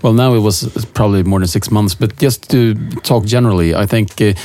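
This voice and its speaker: A man co-hosting a panel, asking quick, casual questions. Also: lowish voice, warm tone, no room sound. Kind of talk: panel discussion.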